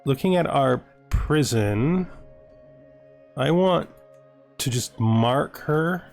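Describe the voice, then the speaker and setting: A man's voice: mid-range voice, animated, drawn-out words, vocal fry, a little mellow. A man hosting a let's play, thinking aloud as he comments, with playful mock-menacing asides. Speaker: host of a gaming video series. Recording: music behind the voice. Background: music.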